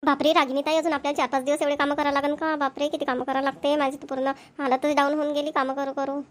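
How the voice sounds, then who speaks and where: A woman's voice: high-pitched, little pitch movement, racing, natural voice. A woman voicing a complaining, overworked young daughter-in-law in a family comedy cartoon, with grumbling colloquial chatter.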